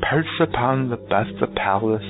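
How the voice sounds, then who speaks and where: A man's voice: medium pitch, unhurried, close to an everyday voice. A man playing a solemn, haunted narrator in a portentous radio recital of a gothic poem. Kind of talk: dramatic poetry recital. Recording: thin phone-like band, music behind. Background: music.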